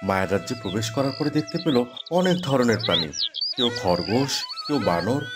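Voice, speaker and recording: A man's voice: on the low side, animated, brisk. Man narrating a fairy tale over music.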